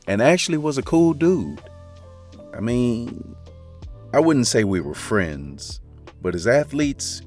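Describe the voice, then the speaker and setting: Deep-voiced man telling a confiding story. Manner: casual, confiding, slangy. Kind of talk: first-person romance story narration. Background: faint background music.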